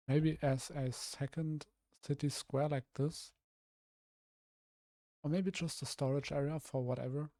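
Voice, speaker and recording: A young man from Germany talks through his building plans in a casual stream, his voice rough and a little hoarse. He thinks aloud, trailing off and restarting often, in a mellow, warm tone. The sound is dry and close, with no room around it. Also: mid-range voice, no room sound, low-quality recording. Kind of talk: casual live-stream commentary while building.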